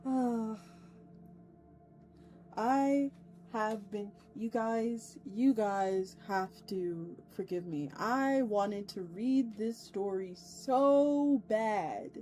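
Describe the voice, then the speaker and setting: A woman hosting a livestream, chatting casually with her viewers in a playful way, with chatty asides and drawn-out emphasis. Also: mid-range voice. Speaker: VTuber streamer host. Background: soft ambient music.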